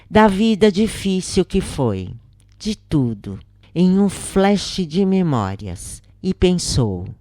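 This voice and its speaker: A Brazilian woman reading a scripted spiritual account as narrator, in a solemn, measured manner. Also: low-pitched, darkish tone, dry recording, clean studio sound.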